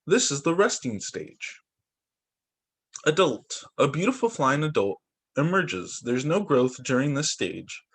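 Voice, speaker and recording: Man teacher reading aloud, lively voice. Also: a little high, unhurried, husky. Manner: reads aloud with asides and exclamations. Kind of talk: reading picture books aloud to children. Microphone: computer mic on a video call.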